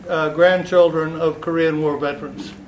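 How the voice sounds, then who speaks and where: A man's voice: on the high side, fairly even intonation, normal speed, a little hiss or hum. A man introducing himself to a gathering, stammering a little and sounding bashful. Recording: echoey room recorded from far off with a crowd.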